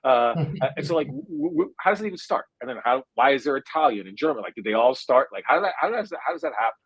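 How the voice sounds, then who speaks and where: A man's voice: mid-range voice, expressive, fairly bright tone, slightly rough, dry recording. Interview guest, man in his 40s, New Jersey accent, hesitant and reflective delivery, mid-range voice. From India. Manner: thoughtful, hesitant, full of drawn-out "uh" fillers. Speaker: guest neuroscientist. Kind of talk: conversational interview answer.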